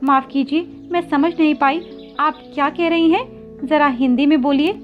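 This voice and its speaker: A woman's voice: high voice, fairly even intonation, moderate pace, muffled recording, soft background music, voice pushed up, lightly acted. A woman voicing a meek, polite young female village sparrow in a children's cartoon story. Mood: timid. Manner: polite, hesitant, pleading.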